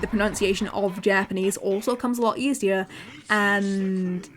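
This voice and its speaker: A young woman with an English accent, chatting casually on a livestream, often repeating words and filling pauses. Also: mid-range voice, a little hiss or hum. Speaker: VTuber streamer.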